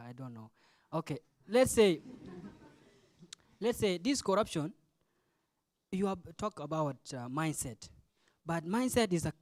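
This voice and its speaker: A man's voice: a little high, dry recording. A man in his twenties with a South Sudanese accent, speaking off the cuff as a student leader, explaining in short phrases and questioning his listeners. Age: 20s. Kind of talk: impromptu forum speech.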